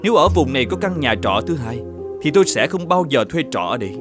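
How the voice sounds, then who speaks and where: An actor playing a grey-bearded older traveller in a cartoon folk tale, polite but frank. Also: male voice, mid-range voice, big pitch swings, fast, over music, natural voice. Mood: disgruntled, polite.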